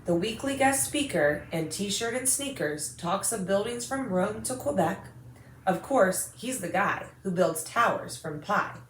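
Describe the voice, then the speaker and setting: A woman's voice: mid-range voice, expressive, a little slow, a little hiss or hum. American woman storyteller in an echoey room. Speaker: storyteller reading a picture book to children. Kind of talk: expressive read-aloud of rhyming children's story.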